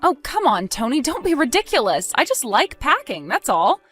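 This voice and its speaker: A woman's voice: high-pitched, sing-song, moderate pace, a bit bright, husky, faint music behind, full character voice. A woman playing a polite, reassuring but evasive wife in a scripted audio story, phrasing things neatly. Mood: defensive. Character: evasive, cheerful wife chatting with her husband.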